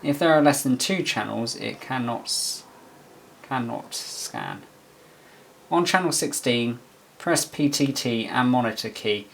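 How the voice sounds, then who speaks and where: A man's voice: mid-range voice, big pitch swings, a little slow. A man doing a casual hands-on product review, muttering as he thinks aloud and reads bits from a manual. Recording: clear background noise with handling clicks.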